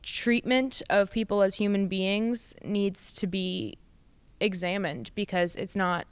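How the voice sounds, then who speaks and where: A woman's voice: mid-range voice, steady tone, takes it slowly, clear, resonant tone, no echo. A young woman in her late teens, a student actor speaking earnestly and casually in an interview.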